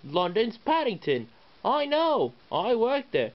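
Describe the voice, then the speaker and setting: A man's voice: sits high, huge pitch swings, slow pace, muffled recording, pitched above the performer's own voice, full character voice. Man putting on a cocky, swooping little engine. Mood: scornful, insistent. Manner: indignant, insistent. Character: small, cocky, argumentative male tank engine, stubbornly certain.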